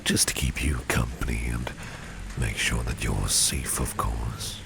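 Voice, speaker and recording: An extremely deep, crackling half-whisper from a courteous, protective businessman boss, acted by a man in an ASMR roleplay. He speaks slowly and formally, doting on the listener in a soothing, gentlemanly way, with noisy rainy ambience behind him. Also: steady tone, music in the background, heavily acted. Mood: attentive, gently charming. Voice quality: breathy low half-whisper, gravelly. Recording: noisy, with rain and street ambience.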